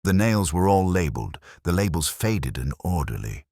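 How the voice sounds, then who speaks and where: Very deep, husky male narrator. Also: big pitch swings, dark tone, no echo, studio quality. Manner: measured, literary, brooding. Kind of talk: scripted first-person detective story narration.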